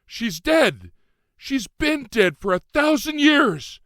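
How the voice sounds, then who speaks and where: A man's voice: extremely high pitch, sing-song, takes it slowly, no room sound, clean studio sound, voice pushed up, full character voice. A man voicing an anguished, overwhelmed young man in emotional audiobook dialogue. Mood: anguished, shouting. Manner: emotional, shouting outbursts.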